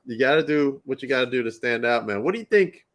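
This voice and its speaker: A man's voice: mid-range voice, expressive, quick pace. A man hosting a podcast interview in casual chat, sounding stuffed up and nasal from allergies, laughing often and repeating himself. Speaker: podcast host.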